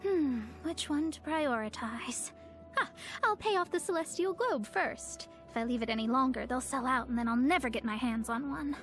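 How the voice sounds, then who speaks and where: A woman's voice: sits high, moderate pace, a bit bright, soft background music. An actress voicing a proud, haughty young woman astrologer in a video game, speaking in a formal, pompous, theatrical way. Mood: fretting. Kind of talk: scripted video game character voice lines.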